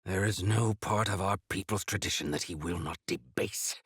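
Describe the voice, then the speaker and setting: An actor playing a spry, jovial yet fierce old rebel elder in a video game, with a gravelly voice and a grandiloquent, mischievous manner. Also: male voice, low voice, moderate pace, a bit bright, no echo, heavily acted. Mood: wry, grave. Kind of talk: theatrical video game character dialogue.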